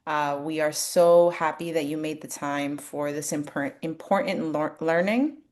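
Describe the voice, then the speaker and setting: Low-voiced woman presenting a webinar. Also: a little slow, darkish tone. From New York City. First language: Spanish. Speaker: speech language pathologist presenter.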